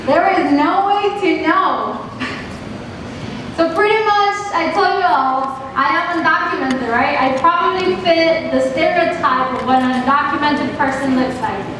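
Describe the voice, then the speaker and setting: A high-voiced woman from Mexico speaks with a Mexican accent, earnest and direct as she puts questions to a class. She is picked up from far off in a very echoey classroom, with clear background noise. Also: a little slow. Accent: Mexican Spanish. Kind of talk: classroom presentation.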